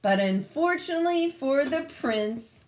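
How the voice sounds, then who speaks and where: Unhurried, expressive woman reading a picture book. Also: high voice. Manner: expressive, pausing to comment on the pictures. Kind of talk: read-aloud storytelling for children with casual asides.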